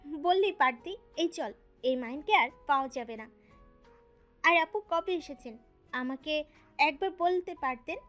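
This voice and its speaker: A woman's voice: high-pitched, expressive, a little slow, pauses often, fairly bright tone, muffled recording, faint music behind. A woman voicing a brash, chatty young male student with slangy, exclamatory talk in an audio story.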